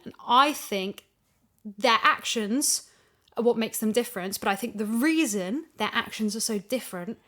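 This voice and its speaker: A woman in a casual discussion, thinking ideas through aloud with thoughtful emphasis. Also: on the high side. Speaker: audio drama voice actress.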